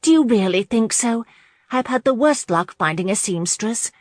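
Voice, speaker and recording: Woman voicing a flustered, high-pitched young countess. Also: animated, normal speed, no room sound, studio quality. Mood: bright, gracious. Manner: stammering, breathless politeness. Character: young countess, flustered, polite, eager to please.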